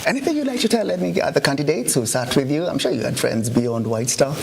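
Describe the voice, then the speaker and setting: Man, playful teasing interviewer, gravelly voice, noisy recording. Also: on the high side, fast talker. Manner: playful, teasing, animated questioning. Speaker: news show host interviewing a pupil. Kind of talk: casual on-the-spot interview. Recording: noisy background ambience.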